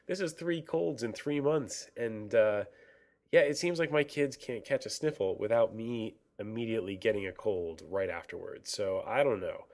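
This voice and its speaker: A man's voice: lowish voice, normal speed, darkish tone. A man in his thirties hosting a casual solo podcast, his voice froggy from a cold, rambling with many fillers and restarts. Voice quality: froggy, congested. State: stuffy from a cold. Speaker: podcast host. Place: dorm room on a college campus.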